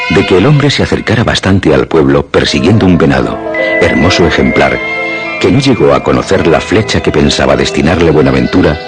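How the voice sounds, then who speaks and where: Male radio drama narrator: bass register, expressive scripted storytelling over clearly audible music.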